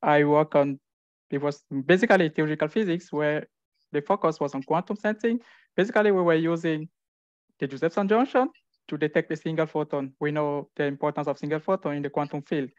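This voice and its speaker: A young man from Cameroon introducing himself and his research informally, haltingly and with repeated words. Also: on the high side, no echo. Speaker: physics research fellow introducing themself. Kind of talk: informal self-introduction at an online event. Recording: dull, lo-fi call audio.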